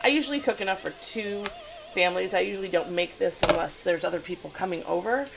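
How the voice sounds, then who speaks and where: A woman with a gravelly edge to her voice walks through a home recipe as she films herself. She talks in a casual, instructive way, full of fillers. Music plays under her voice in the home kitchen. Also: fairly low pitch, fast talker, poor audio. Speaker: home cook filming herself. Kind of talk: casual cooking demonstration. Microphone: handheld camera. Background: food sizzling in a pan.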